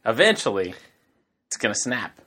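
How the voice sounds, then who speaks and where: A man's voice: on the low side, very animated, normal speed, fairly bright tone, husky. A male podcast co-host trading teasing, wisecracking remarks in casual banter.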